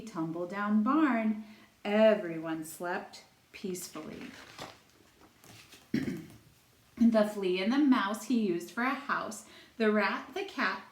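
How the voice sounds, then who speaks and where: A woman, a first-grade teacher, reads a rhyming storybook to young children in expressive, carefully spaced phrases. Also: mid-range voice. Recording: echoey room.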